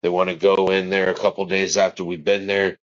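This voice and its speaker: A father speaking earnestly in an interview clip, halting and restarting his sentences. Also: sits low, flat delivery, dull audio. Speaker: father of a missing child.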